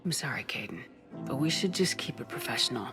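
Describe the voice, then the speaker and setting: A woman's voice: extremely low pitch, lively intonation, a little fast, whispered. A woman playing a tough, composed military commander in a video game, direct and controlled. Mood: guarded. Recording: music behind the voice.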